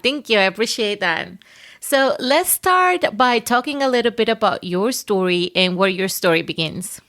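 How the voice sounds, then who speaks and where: A woman's voice: medium pitch, expressive, normal speed, fairly bright tone. A woman with a Latin American Spanish accent, hosting a podcast interview and affirming her guest encouragingly. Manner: encouraging, affirming with many mm-hmms. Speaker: podcast host. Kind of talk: casual interview conversation.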